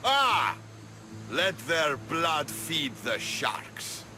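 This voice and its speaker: An actor playing a proud, earnest Spartan military leader in a video game, speaking tersely. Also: male voice, a little high, big pitch swings, extremely slow, pushed and bright, faint music behind, full character voice. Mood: relieved, grateful. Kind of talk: video game cutscene acting.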